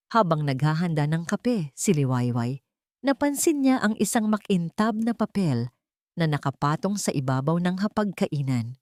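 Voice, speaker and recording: A woman reading scripted dramatic story narration in measured, short phrases. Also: low voice, a little mellow, no echo, clean studio sound.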